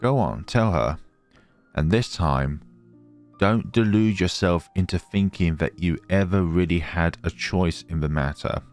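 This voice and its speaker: A man's voice: low-pitched, a little slow. A man hosting a let's play, reading game text aloud dramatically and reacting. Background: game music.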